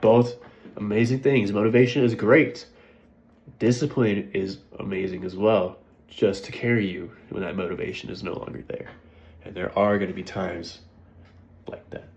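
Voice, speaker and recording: A man's voice: lowish voice, fairly even intonation, moderate pace, darkish tone, lo-fi sound, slight background noise. A congested man talking casually to his viewers about motivation, often checking in with "right?". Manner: conversational, keeps asking "right?". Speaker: vlogger. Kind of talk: casual motivational talk to camera.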